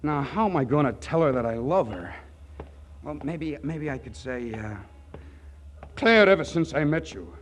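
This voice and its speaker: A man's voice: on the high side, expressive, normal speed, natural voice. An actor playing a naive, bashful small-town young man with a hesitant stammer in a 1940s radio comedy. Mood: nervous. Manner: stammering, hesitant, with goofy earnestness. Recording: noisy 1940s radio broadcast recording.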